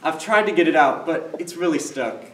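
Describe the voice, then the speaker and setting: A young man playing an excitable, teasing husband in a sitcom-style stage play. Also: a little high, moderate pace, lightly acted. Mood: casual, pleading. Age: young adult. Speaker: stage actor. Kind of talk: 1960s sitcom parody stage acting. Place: theater stage. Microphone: distant camera microphone. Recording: roomy, noisy distant stage recording.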